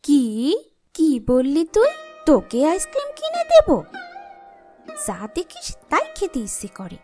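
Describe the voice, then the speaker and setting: Woman acting a shrill, swooping cruel stepmother. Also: huge pitch swings, measured pace, a little mellow, soft background music, heavily acted. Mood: contemptuous. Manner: harsh, taunting, threatening. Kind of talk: cartoon fairy-tale voice acting.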